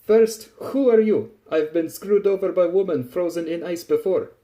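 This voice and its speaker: Man putting on a gruff, loud soldier. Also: sits high, moderate pace, voice pushed up, lightly acted. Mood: sceptical, brash. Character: gruff, boozy, smug Russian male soldier. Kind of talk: dramatised story reading.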